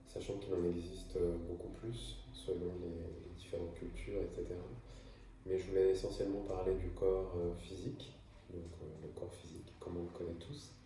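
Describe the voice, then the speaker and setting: A man from France gives a slow, hesitant talk full of fillers and restarts, with a low, flat voice. His tone is dark and mellow. He sits back from the microphone, and the room rings with heavy echo. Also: recorded from a distance, slight background noise. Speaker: video creator. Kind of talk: improvised casual monologue. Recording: echoey room, voice from afar.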